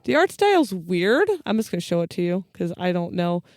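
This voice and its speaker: A woman's voice: fairly low pitch, big pitch swings, fairly quick, a little mellow, no echo, studio quality. A woman hosting a podcast, telling stories in an informal, wry, chatty way. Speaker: podcast host. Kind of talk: casual storytelling chat with co-host.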